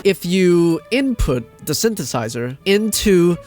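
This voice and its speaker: A man's voice: high-pitched, sing-song, unhurried, music in the background. A man giving a casual ranting commentary as a video host, exasperated, emphatic and sweary, often repeating himself for stress.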